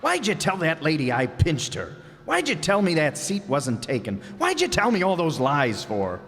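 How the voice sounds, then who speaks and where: A man's voice: fairly high pitch, huge pitch swings, moderate pace, a bit bright, full character voice. A man playing a grumpy, put-upon, sarcastic husband in old-time radio comedy style, recorded in a hall. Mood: exasperated. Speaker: radio play actor. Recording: reverberant hall.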